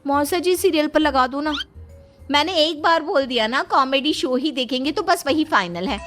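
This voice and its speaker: A woman's voice: high-pitched, normal speed, a bit bright, soft background music, voice pushed up. A woman voicing squabbling young daughters-in-law, indignant and whiny, in a cartoon moral story. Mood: insistent. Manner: bickering, whiny protest.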